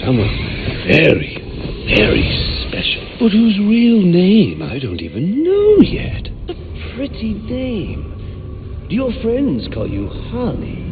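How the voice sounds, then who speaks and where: A theatrical, unhinged clown villain, purring slowly and swinging his pitch wildly between whispers and squeals. It is a video game scene with tinny, phone-like sound buried under a loud musical score. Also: wildly swooping pitch, music in the background, full character voice. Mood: enthralled. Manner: purring, theatrical, swooping pitch, cackling laughs.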